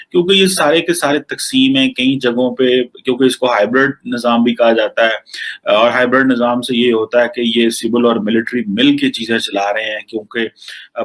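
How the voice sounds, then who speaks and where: A man's voice: mid-range voice, fairly bright tone, poor audio. A man with a Pakistani accent talks through current affairs in an unscripted, explanatory way, mixing in English phrases. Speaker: political commentator on a talk show. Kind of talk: unscripted news discussion.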